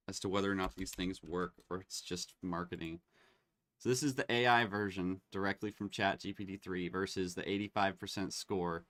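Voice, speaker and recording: A man hosting a live online demo, chatting casually and getting excited as he tests tools. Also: low voice, no room sound. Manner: excitable, laughs and exclaims while testing tools.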